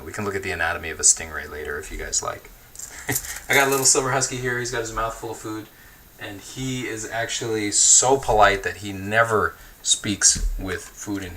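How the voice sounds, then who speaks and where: A man hosting a livestream, chatting casually and reading viewer comments in a relaxed, easygoing way, laughing often and thinking aloud with fillers. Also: low-pitched, a little hiss or hum, rough recording. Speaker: livestream host.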